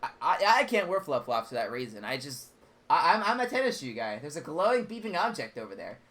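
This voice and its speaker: A man's voice: on the high side, big pitch swings, a little fast, a bit bright. A man commenting on a game as he plays, chatty and rambling, often stumbling over and repeating his words. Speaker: gaming channel host. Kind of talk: let's play commentary.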